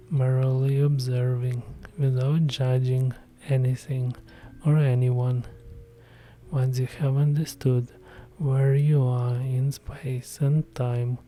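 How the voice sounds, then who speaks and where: A man guiding a meditation in a soft, hushed, whispery voice. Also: mid-range voice, a little hiss or hum. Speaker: meditation guide. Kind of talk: guided meditation narration.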